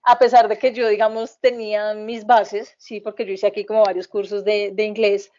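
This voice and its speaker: A woman tells family stories in loose, rambling conversation, laughing often and leaning on fillers. Her pitch sits in a middle range. The audio is a little muffled. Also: level tone, normal speed, dark tone. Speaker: podcast guest, mother recounting family migration. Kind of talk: casual conversational storytelling. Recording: slightly muffled podcast audio.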